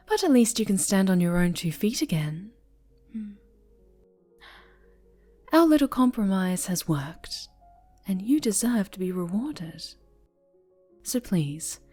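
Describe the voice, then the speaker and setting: A woman voicing a possessive, sinister superhero in an ASMR roleplay, soft and often whispered, with a tender, coaxing menace. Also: mid-range voice, husky. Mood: coaxing, satisfied. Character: possessive, sinister female superhero, a sweetly menacing obsessive captor.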